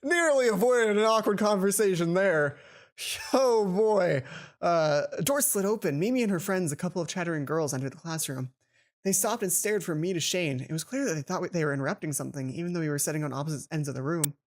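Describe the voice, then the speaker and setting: A woman reading a visual novel aloud for a let's play, expressive, with casual asides of her own. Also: lowish voice, a little fast. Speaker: let's player.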